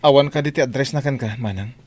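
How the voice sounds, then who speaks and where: A man's voice: medium pitch, fast talker, a little mellow, close to an everyday voice. A man playing an impatient, determined young wealthy employer in a radio drama, with an Ilocano accent. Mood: urgent questioning.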